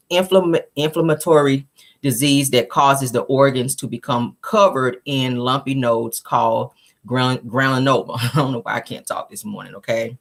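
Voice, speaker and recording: A woman with a low-pitched voice hosts a gossip news show. She talks casually and slangily, addressing her viewers like friends as she gives her commentary. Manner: informal, slangy, addressing viewers as guys and honey.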